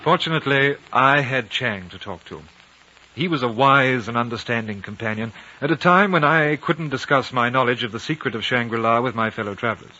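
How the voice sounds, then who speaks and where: Guy acting a wry british diplomat in an old radio play, crisp bright voice, formal and understated, muffled sound. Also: medium pitch, a little fast, a little hiss or hum, close to an everyday voice. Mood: reflective narrating. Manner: polite, understated, dryly formal. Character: urbane, reserved British consul, thoughtful and wry. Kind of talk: 1950s radio drama dialogue and narration. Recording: dull 1950 radio recording.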